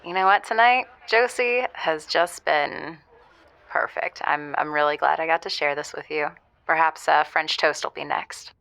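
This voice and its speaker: A woman's voice: deep voice, a little slow, vocal fry, fairly bright tone, dry recording, exaggerated voice. A woman playing a flirtatious, playful caller to a radio show in an audio drama, teasing and gossipy. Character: flirtatious, playful woman calling in, gleefully narrating a date. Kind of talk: audio fiction acting.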